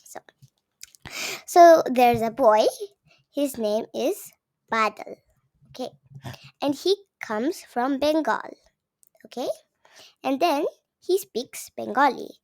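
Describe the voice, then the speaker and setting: A woman's voice: high-pitched, animated, slow pace, pauses often, a little mellow. A seven-year-old girl from Gujarat with an Indian accent, reading a story aloud and explaining it simply to viewers like a young teacher. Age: child, seven years old. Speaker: young channel host.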